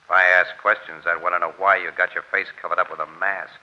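An actor playing a tough, slangy American newspaper photographer who stays cool in danger, in 1940s radio drama style. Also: male voice, deep voice, flat delivery, fast talker, natural voice. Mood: guarded, cool. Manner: slangy, terse. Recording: muffled, noisy old radio recording.

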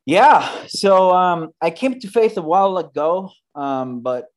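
A man's voice: high voice, lively intonation, slow pace. A man in his thirties from the Bay Area, a church planter giving earnest, conversational interview answers full of fillers and restarts. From United States, Bay Area.

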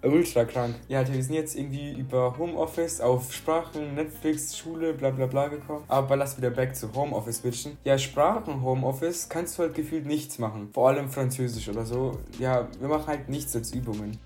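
A man's voice: mid-range voice, a little fast, hardly any pauses, darkish tone, soft background music. A teenage boy chatting casually with a classmate, rambling in youth slang and often cutting in. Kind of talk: casual chat between classmates.

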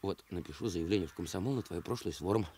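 An actor playing a restless, brooding ex-thief in a radio play, curt and impulsive, with a husky voice. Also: male voice, deep voice, fast talker, mellow. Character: restless, brooding male ex-thief trying to reform.

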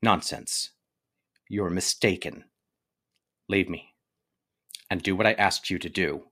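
Man playing young nobleman, low husky voice, brooding, theatrical, deliberate, tragic stage drama, dry close recording. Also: fairly bright tone, no room sound, full character voice. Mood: grim resolve. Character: anguished young nobleman lover, brooding and resolute. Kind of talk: dramatic reading of a modernised stage tragedy.

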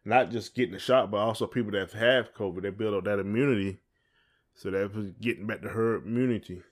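A man with a fairly low voice co-hosts a casual podcast. He talks in a rambling way, circling back and repeating phrases as he thinks out loud. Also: quick pace. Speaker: podcast co-host. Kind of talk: casual conversational chat.

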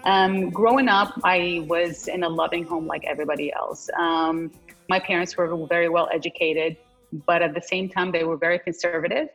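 A woman who grew up in Iraq and Canada speaks as a guest on a podcast. Her voice is a little low, and she answers in a loose, chatty way, with fillers and frequent laughs. There is faint music underneath. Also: fairly even intonation, normal speed, a bit bright, rough recording. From Iraq, then Canada. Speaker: fitness coach and guest. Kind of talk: casual interview answers.

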